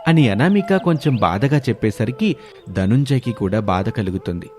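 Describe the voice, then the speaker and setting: A man's voice: mid-range voice, expressive, moderate pace, a little mellow, soft background music. A man narrating a scripted moral story in a dramatic storytelling style.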